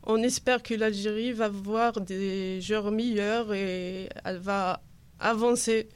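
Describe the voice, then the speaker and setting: Female interview guest, Algerian accent, medium pitch, conversational delivery that gropes a little for the right word. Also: fairly even intonation, slow pace, clear, resonant tone. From Algeria, Kabylie coast.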